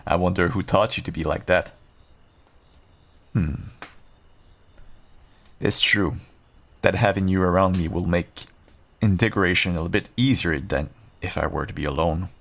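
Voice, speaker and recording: Man voicing a deep, gravelly werewolf adventurer. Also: unhurried, long pauses, fairly bright tone, exaggerated voice. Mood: amused, agreeable. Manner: reassuring, wry, bantering. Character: seasoned male werewolf adventurer, gruff but kindly, teasing rescuer. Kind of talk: audio roleplay, one-sided dialogue addressed to listener.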